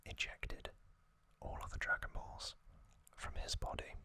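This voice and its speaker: A man whispering as an ASMR host, telling a story softly in short, halting phrases. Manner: soft, unhurried, halting delivery in short phrases.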